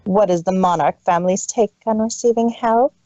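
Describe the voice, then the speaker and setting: A man's voice: high-pitched, normal speed, dark tone, no room sound, lo-fi sound, voice pushed up, lightly acted. A man voicing a cold, cruel, haughty older-sister pony mare in a story reading, contemptuous and scolding in manner. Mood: harsh, scornful.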